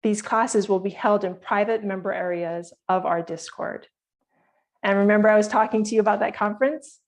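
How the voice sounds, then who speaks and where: A woman's voice: mid-range voice, steady tone, moderate pace. A woman from Hawaii presenting her project to an online community meeting, speaking earnestly and directly to her listeners.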